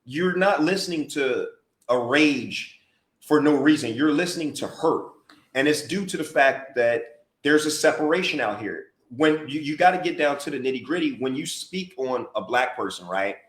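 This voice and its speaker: A man's voice: fairly high pitch, expressive, normal speed. An American man co-hosting a casual podcast chat, talking emphatically and repeating himself for emphasis. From United States. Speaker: podcast co-host and DJ. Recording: roomy echoey podcast audio.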